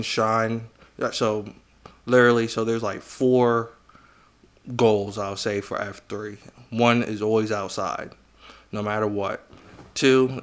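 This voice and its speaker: A man's voice: lowish voice, a bit bright, smooth. A man with an American accent, chatting casually and informally as a guest in a podcast interview. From United States, Virginia. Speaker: podcast guest, business coach.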